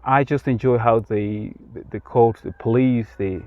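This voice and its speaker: Kenyan man, earnest, halting speech. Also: medium pitch, dry recording, narrow, tinny audio. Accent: American-sounding English. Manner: halting, repeats words, earnest. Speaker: interviewee. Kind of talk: casual interview. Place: outdoors in rural Kenya.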